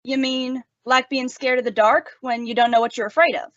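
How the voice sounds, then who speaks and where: A woman's voice: high-pitched, steady tone, fairly quick, fairly bright tone, no room sound, dull audio. A woman playing an eager, earnest, inquisitive boy in an old-time radio western. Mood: curious, earnest. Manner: wide-eyed, inquisitive.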